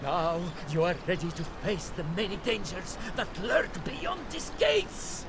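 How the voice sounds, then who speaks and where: A high-pitched, pompous masked vigilante. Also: male voice, very animated, a little slow, creaky, noisy background, exaggerated voice. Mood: booming, ceremonial. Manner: grandiose, declaiming. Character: pompous, theatrical masked male vigilante of wounded pride. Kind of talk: theatrical video game acting.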